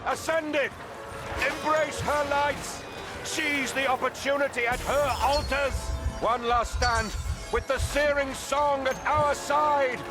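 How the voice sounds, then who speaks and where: A man's voice: very high-pitched, steady tone, takes it slowly. A man playing a zealous, solemn holy war commander in a video game, declamatory and resolute in his delivery. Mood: rallying, exultant, then grave, urgent. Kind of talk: dramatic video game acting. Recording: loud music behind the voice.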